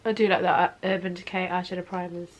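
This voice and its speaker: A woman's voice: lowish voice, flat delivery, fast. A woman, sniffly, talking through a makeup tutorial in a casual, chatty way from her bedroom. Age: 20s. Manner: chatty, keeps saying "obviously". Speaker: makeup vlogger. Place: bedroom, sitting on a bed.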